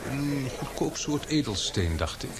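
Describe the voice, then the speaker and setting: A man acting in a Dutch radio play as a polite, hesitant reporter who is courteous and halting when flustered. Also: mid-range voice, very animated. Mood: puzzled, piqued. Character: polite, hesitant male reporter joining an expedition. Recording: radio play with clear crowd murmur.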